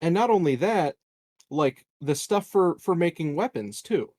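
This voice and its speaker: A man's voice: a little high, lively intonation, unhurried, darkish tone, dry recording. A man talking casually as a player in a tabletop game.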